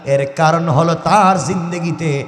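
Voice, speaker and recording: A man delivers an impassioned sermon through a heavily echoing sound system. His voice sits high, swelling from lower phrases into loud exhortations as he tells religious stories and puts rhetorical questions to his listeners. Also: unhurried. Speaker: Islamic preacher. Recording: echoey hall PA, long reverb.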